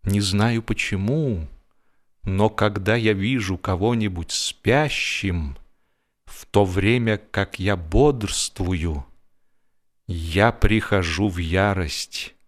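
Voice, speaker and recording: A man voicing an indignant, sarcastic Victorian gentleman in a comic audiobook, with a theatrical, self-righteous delivery. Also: sits low, slow pace. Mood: scornful.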